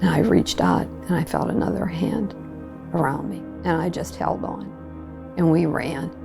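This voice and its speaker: A woman's voice: low voice, moderate pace, gravelly. A woman in her sixties giving an emotional personal recollection in a documentary interview. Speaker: survivor giving testimony. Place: living room with wood paneling and stone fireplace. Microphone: lapel mic. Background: prominent background music.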